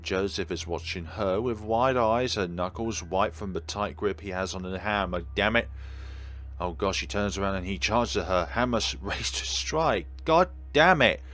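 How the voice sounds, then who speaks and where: Male let's player, fairly low voice, casual commentary, reads narration aloud, wry, faint game music. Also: normal speed, fairly bright tone. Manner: wry, talking back to the characters.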